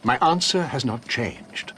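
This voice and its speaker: Grave, expressive elderly British headmaster. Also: male voice, mid-range voice, slight background noise. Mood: grave, firm. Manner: formal, deliberate. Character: grave, measured elderly male wizard headmaster. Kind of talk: video game drama acting.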